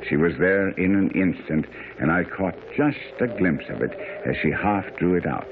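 An actor playing a confident, sharp-witted gentleman detective in a radio drama, speaking in a formal, measured, explanatory way. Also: deep voice. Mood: satisfied, pondering. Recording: muffled, noisy vintage radio broadcast.

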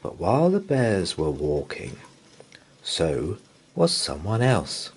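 A man reading a picture book aloud as a bedtime story, in an expressive, sing-song manner. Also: deep voice, slow, breathy edge. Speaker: children's story reader. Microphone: camera above a picture book.

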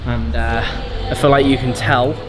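A young British man chatting casually to camera while filming himself in a large, bright gym. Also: mid-range voice, fairly even intonation, normal speed, poor audio. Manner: chatty, rambling with fillers. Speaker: vlogger. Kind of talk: casual vlog chat to camera. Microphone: camera-mounted shotgun mic.

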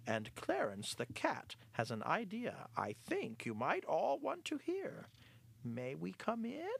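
A storyteller voicing a sheepish male barn rat who speaks in a hurried, pleading way. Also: mid-range voice, wildly swooping pitch, vocal fry, husky, voice pushed up, heavily acted. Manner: hasty, pleading.

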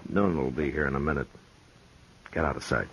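An actor playing a steady, commanding frontier marshal in a 1950s radio drama. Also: male voice, very deep voice, brisk, muffled recording, a little hiss or hum. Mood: firm. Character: calm, firm frontier marshal, grown man.

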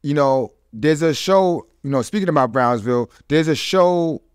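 A man's voice: a little high, expressive, normal speed, smooth, no room sound. A man hosting a casual podcast, slangy and appreciative, close on a studio mic. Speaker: podcast host. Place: small studio with foam panels.

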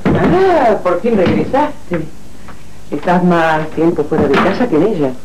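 A woman's voice: on the low side, expressive, measured pace, noisy background. A woman playing a cold, accusing lady of the house with an Argentine accent in a telenovela.

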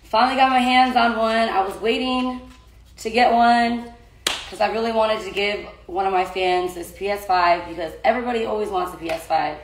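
A young woman with a raspy voice chatting casually to followers on a phone livestream about a giveaway. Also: medium pitch, normal speed, echoey room, a little hiss or hum, rough recording. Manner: chatty, laughing, talking to her dogs between points. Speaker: social media influencer. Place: small bedroom indoors. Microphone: phone at arm's length.

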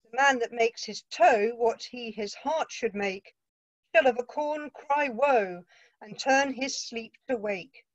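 A woman reading the part of a quipping male court fool, in brisk riddling patter. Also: a little high, no echo, muffled recording. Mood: wry. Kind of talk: play read-through.

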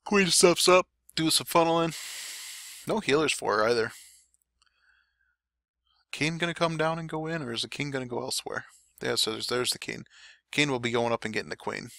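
A man giving relaxed, chatty play-by-play commentary over game replays, his throat a little dry. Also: mid-range voice, vocal fry, no echo. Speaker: clan war recap commentator.

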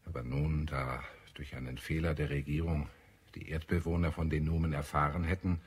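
Ein Schauspieler spielt in einem deutschen Hörspiel einen ernsten, idealistischen Marsianer, der getragen und deklamierend spricht. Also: male voice, a bass voice, fairly even intonation, moderate pace, breathy edge. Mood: grave, reporting. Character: earnest, idealistic Martian man, visionary and grave. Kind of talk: German radio play acting.

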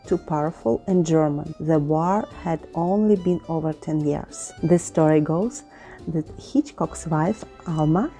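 Low, mellow-voiced woman tutorial host. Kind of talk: tutorial voice-over with history. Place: indoor studio with painted backdrop. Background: soft background music.

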